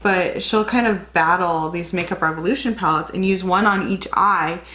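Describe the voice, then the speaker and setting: A woman's voice: fairly low pitch, moderate pace, sharp tone. A young woman with a slight cold, chatting and rambling through a casual makeup tutorial as a beauty vlogger. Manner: chatty, rambling, self-correcting.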